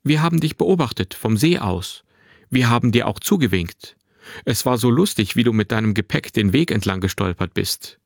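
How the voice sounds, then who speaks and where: A man voicing a confident, carefree boy of about twelve in a narrated story, with a clear, bright tone and a forthright manner. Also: medium pitch, lively intonation, moderate pace, no echo, clean studio sound. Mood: bold, amused. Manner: forthright, unembarrassed, boyishly matter-of-fact. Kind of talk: acted dialogue in a narrated story.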